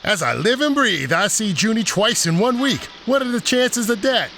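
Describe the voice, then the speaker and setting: A man's voice: sits high, very animated, normal speed, bright, forceful tone, faint music behind, heavily acted. A man playing a mocking, streetwise shoe shiner in a 1940s noir audio drama. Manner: mocking, slangy. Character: sneering streetwise male shoe shiner informant.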